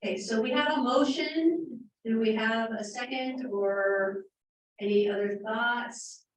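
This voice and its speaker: A woman chairing a neighborhood meeting in a city hall room, talking loudly and explaining things directly at length. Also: fairly high pitch, unhurried, slight background noise. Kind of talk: community meeting discussion. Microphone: handheld mic, from a distance. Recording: echoey meeting room from far off.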